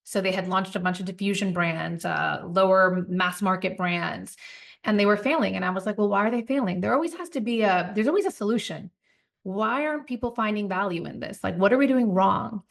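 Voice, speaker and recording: A woman's voice: medium pitch, a little fast, creaky. A woman in her forties from Puerto Rico, a company founder telling her career story as a podcast guest in a reflective, storytelling manner. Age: 40s. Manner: reflective, storytelling, animated asides. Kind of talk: podcast interview.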